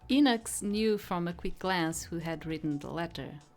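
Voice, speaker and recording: A woman's voice: fairly low pitch, expressive, moderate pace. A woman reading a visual novel aloud as dramatic first-person story narration. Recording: soft music behind the voice.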